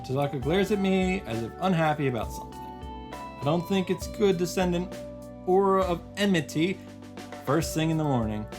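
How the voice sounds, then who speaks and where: A man's voice: high voice, lively intonation, normal speed. A man reading visual novel narration aloud as a streamer, with informal, casual reactions. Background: game music.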